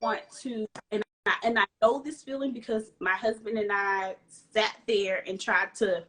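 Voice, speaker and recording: A woman who works as a speech-language pathologist speaks as a guest on a live video call interview. She explains things calmly and reassures parents in an even, unhurried way. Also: mid-range voice, a little hiss or hum. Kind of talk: casual live-stream interview.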